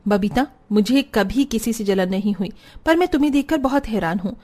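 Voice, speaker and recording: A woman voicing a patient, reasonable woman of a family in a cartoon story, measured and gently reproving. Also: medium pitch, animated, lightly acted. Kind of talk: Hindi cartoon story dialogue.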